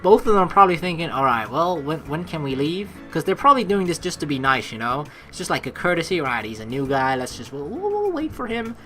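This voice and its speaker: A woman's voice: sits low, big pitch swings, fast talker, hardly any pauses, a bit bright. A woman doing casual let's play commentary, rambling as she thinks aloud and reading game text, with some casual swearing. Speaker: let's player. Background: game music.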